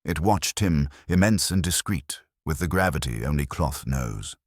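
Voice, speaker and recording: Very deep male storyteller, wry delivery. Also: lively intonation, no echo, clean studio sound. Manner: wry, measured, literary. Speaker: narrator of an audio mystery story. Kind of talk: scripted narration of a period comic mystery in radio drama style.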